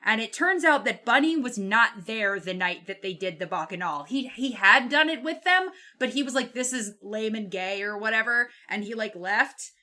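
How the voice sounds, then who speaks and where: A woman's voice: fairly high pitch, expressive, normal speed, hardly any pauses, bright, forceful tone. A woman chatting casually and animatedly about a book, blunt, emphatic and freely swearing. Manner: blunt, profane, emphatic, acts out quotes colloquially. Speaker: podcast co-host.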